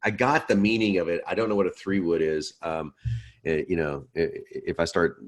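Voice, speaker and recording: A man's voice: deep voice, steady tone, moderate pace. A male instructor leading an online question-and-answer class in a chatty, joking way, laughing often and telling stories.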